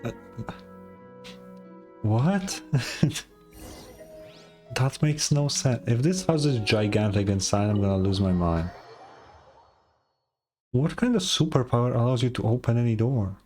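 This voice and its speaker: Tired, very low-voiced woman streamer. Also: unhurried, long pauses. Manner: tired, deadpan, blunt. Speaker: streamer reacting to a game. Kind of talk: casual gameplay reaction commentary. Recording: game music faintly behind.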